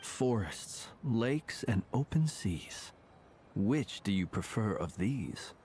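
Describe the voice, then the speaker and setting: A man voicing a refined, patient gentleman in a game, with formal, polite and measured phrasing. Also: fairly low pitch, sing-song, a little hiss or hum, exaggerated voice. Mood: courteous. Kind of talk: animated game dialogue. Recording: music playing behind.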